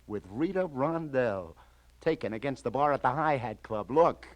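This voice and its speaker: A man acting as a city detective from an old radio crime drama. He is worldly and a little weary, yet kindly, and speaks in short, colloquial bursts at a middle pitch. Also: expressive, moderate pace, close to an everyday voice, rough recording. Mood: businesslike. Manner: colloquial, clipped, easygoing questioning. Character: streetwise male police detective lieutenant, weary but kindly.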